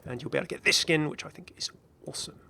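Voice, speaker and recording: A man hosting a gaming video with casual, excited reaction commentary in a rambling, exclamatory way. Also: a little high, racing, creaky, in a whisper. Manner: rambling, exclamatory, chuckling asides.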